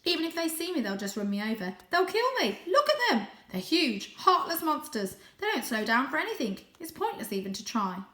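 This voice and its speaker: A small young tomcat voiced by a woman reader pleads in a high, bright voice. He is frightened yet determined, a storybook hero full of urgency. The sound is roomy and echoing. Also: big pitch swings, fairly quick, hardly any pauses. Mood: despairing.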